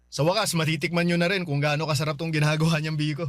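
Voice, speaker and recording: A man's voice: a little high, level tone, fast, no room sound, studio quality, lightly acted. A man playing an earnest, loyal, tender man in a Filipino radio drama. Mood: proud, eager.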